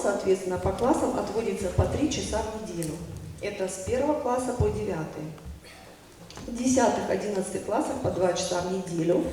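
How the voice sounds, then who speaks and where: A speaker formally reading out a statistical report at a public meeting, in a measured official tone with occasional hesitations and self-corrections. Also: medium pitch, mellow. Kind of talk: formal report read aloud, dense with statistics. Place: large meeting hall at an academy. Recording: echoey hall, distant mic, faint noise.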